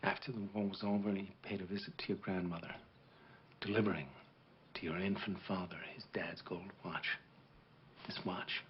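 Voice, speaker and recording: A man's voice: sits low, steady tone, lo-fi sound, slight background noise, natural voice. A man playing a grave veteran officer. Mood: hushed. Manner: measured, solemn storytelling to a child. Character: grave middle-aged male war veteran officer. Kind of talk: dramatic monologue performance.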